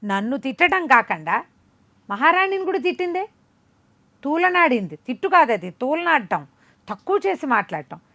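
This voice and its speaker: A woman tells a folk tale. Her delivery is animated and conversational, as if explaining the story to someone beside her. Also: sits high, moderate pace. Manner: conversational, explanatory asides, acts out dialogue.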